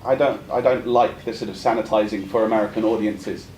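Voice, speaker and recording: A man's voice: fairly even intonation, fairly quick, darkish tone. A man asking an academic question at a conference, speaking in a polite, hesitant and discursive way. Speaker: audience questioner. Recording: echoey room with faint rumbling noise.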